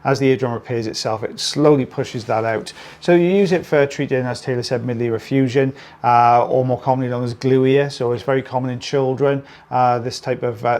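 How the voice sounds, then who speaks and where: A man with a British accent, an ear clinician, calmly explaining a procedure in relaxed, chatty talk with frequent fillers. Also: medium pitch. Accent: British English. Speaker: ear wax removal clinician.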